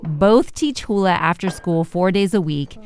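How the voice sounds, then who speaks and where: A woman reporter giving measured, descriptive scripted narration for a radio feature. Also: lowish voice, a little mellow.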